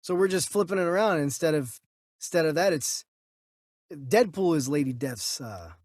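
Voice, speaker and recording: A man's voice: on the high side, animated, moderate pace, no room sound, studio quality. A man co-hosting a podcast in casual conversation, thinking aloud as he reacts and comments. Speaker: podcast co-host.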